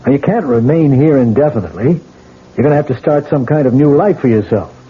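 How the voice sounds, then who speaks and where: An actor playing a skeptical, blunt police lieutenant in a 1970s radio drama, matter-of-fact and probing. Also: male voice, mid-range voice, expressive, fast, lightly acted. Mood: firm. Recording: tinny old radio broadcast with faint hiss.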